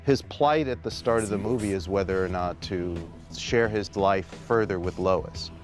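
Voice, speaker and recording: A man's voice: low-pitched, normal speed. A man talking in interview style about making a film, as one of its filmmakers. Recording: music behind.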